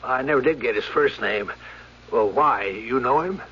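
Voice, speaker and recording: A man's voice: mid-range voice, moderate pace, lightly acted. Man acting weary old doctor in muffled radio drama. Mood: puzzled, uneasy. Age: older. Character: weary elderly doctor who landed a plane, insistent, plain-spoken. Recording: muffled radio broadcast with hum.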